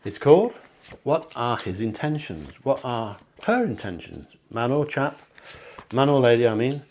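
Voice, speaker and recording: A man leads a card reading, chatting and encouraging, correcting himself as he goes. His voice sounds slightly hoarse at times, and he clears his throat. His pitch sits in the middle range for a man. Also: big pitch swings, a little slow, darkish tone, slight background noise. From England. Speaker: psychic card reader. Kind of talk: tarot-style card reading to camera. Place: home near the sea.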